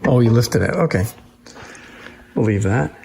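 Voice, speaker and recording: A man presents in an informal, explanatory way, as if showing objects by hand. His voice sits at a medium pitch. The recording is roomy and echoing, with faint noise behind him. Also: expressive, a little slow. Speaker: presenter showing antique phonograph machines. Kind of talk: informal hands-on demonstration, explaining. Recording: roomy echo, clear background noise.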